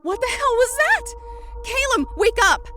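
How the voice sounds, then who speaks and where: An actress playing a devoted, headstrong biologist on an oil rig in an audio drama, emotional and earnest. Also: female voice, extremely high pitch, animated, measured pace. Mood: panicked. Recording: music under the voice.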